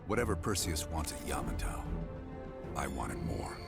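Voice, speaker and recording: A man's voice: very deep voice, very animated, normal speed, gravelly, over loud music, exaggerated voice. A man playing a cold, commanding covert operations leader in a video game, authoritative and restrained. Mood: cold resolve. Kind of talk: cinematic video game acting.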